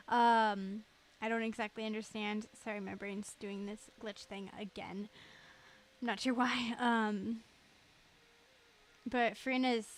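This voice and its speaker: A woman with a fairly high voice chats casually as she streams a game. She rambles in a giggly, self-deprecating way. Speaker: livestreamer. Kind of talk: casual gaming livestream chat.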